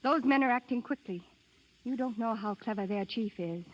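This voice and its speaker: Casting: actress as frightened woman spy, husky and highish voice, urgent 1930s radio acting. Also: normal speed, natural voice. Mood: fearful, urgent. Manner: urgent, dramatic. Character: frightened, secretive young woman spy on the run. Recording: dull lo-fi 1930s radio broadcast.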